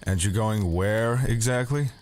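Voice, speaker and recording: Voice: medium pitch, measured pace, noisy background, lightly acted. An actor playing a stern, suspicious army major in a post-apocalyptic audio drama, curt, commanding and humorless. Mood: suspicious, irritated. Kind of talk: fan audio drama acting.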